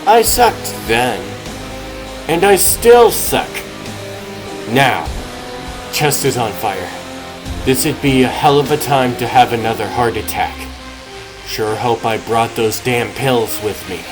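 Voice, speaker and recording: Casting: man as middle-aged has-been hero, dry sarcasm, self-deprecating, slow-paced over music. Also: mid-range voice, animated, low-quality recording. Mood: wry, weary. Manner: sardonic, muttering to himself. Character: wry, self-deprecating washed-up male superhero with heart trouble. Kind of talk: comic dub monologue.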